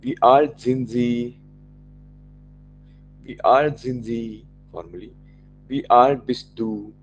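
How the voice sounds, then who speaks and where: A man with an Indian accent, whose first language is Marathi, teaching an online German class, slowly repeating each German phrase for his learners. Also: mid-range voice, fairly even intonation, dull audio, slight background noise.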